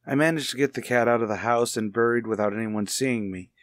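A man acts out an anxious husband from a radio mystery. His mid-pitched voice is earnest and halting, as if pleading with a friend. The sound is close and dry. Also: dry recording, lightly acted. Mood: grave, uneasy. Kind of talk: radio mystery drama acting.

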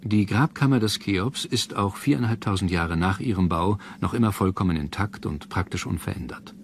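A man's voice: low-pitched, moderate pace, slight background noise. A man reading scripted documentary narration as the narrator. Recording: music under the voice. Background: background music.

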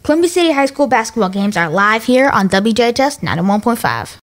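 A woman's voice: on the high side, sing-song, a little fast, over music. An American woman presenting on the radio as host, reading from a script. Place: radio studio.

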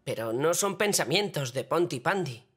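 A woman voicing a scheming, excitable, mischievous young boy in a cartoon dub, with a Castilian Spanish accent. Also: deep voice, normal speed. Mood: sly, scheming. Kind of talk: animated cartoon dubbing. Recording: loud music behind the voice.

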